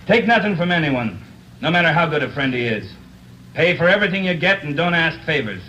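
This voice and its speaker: A man's voice: on the high side, expressive, brisk, pushed and bright, rough recording. An American man playing a middle-aged veteran police officer, giving a blunt, emphatic speech in a 1940s radio drama. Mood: stern, earnest. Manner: plain-spoken, emphatic, hard-bitten. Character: blunt, earnest veteran male police officer lecturing cadets. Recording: hissy old 1940s radio recording.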